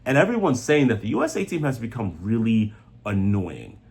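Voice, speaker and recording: A man's voice: lowish voice, huge pitch swings, moderate pace, slightly rough. A man giving opinionated, off-the-cuff soccer commentary in a blunt, emphatic manner, repeating phrases for stress. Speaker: sports commentator.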